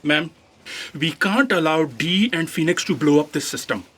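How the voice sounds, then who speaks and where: This is a polite, literal-minded assistant from a comic sci-fi audio play, performed by an actor. The middling voice objects conscientiously and in measured words. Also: medium pitch, animated, fairly bright tone, breathy edge. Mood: earnest. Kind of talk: comedic sci-fi audio drama acting.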